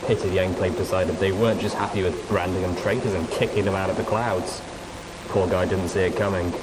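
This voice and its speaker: Casting: resigned radio DJ played by a man, lowish voice, casual wry asides, clear rain-like noise behind. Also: steady tone, fairly quick, lightly acted. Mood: wry sympathy.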